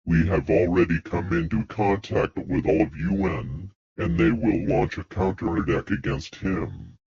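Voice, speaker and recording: A man's voice: low-pitched, measured pace, darkish tone. A man reading a grave, formal government emergency announcement with a synthetic-sounding delivery and a muffled sound. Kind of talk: scripted emergency broadcast announcement.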